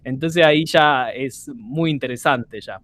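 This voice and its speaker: A man's voice: mid-range voice, a little slow, a bit bright. A man hosting a casual podcast, speaking thoughtfully with frequent hesitant fillers. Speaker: podcast host.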